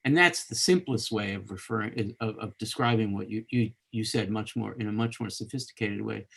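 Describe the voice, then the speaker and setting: A man's voice: lowish voice, brisk, creaky, fairly bright tone, breathy edge. A man hosting an unscripted live webcast discussion, talking conversationally and rephrasing himself as he goes. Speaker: host of a live literature course webcast.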